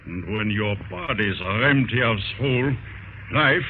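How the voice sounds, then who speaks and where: A man's voice: fairly low pitch, measured pace, lightly acted. Muffled vintage radio villain, played by a man: mad, menacing zombie master, theatrical faux French accent. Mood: mad menace. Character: sinister gloating madman zombie master, Haitian plantation boss. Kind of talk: 1940s radio drama acting. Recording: noisy muffled old-time radio recording.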